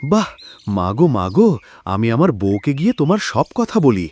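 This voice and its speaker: A man voicing a lazy, sleepy, good-natured farmer in a cartoon moral story, with whiny, drawn-out exclamations. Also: mid-range voice, big pitch swings, darkish tone, no echo. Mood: gleeful. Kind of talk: animated moral story voice acting.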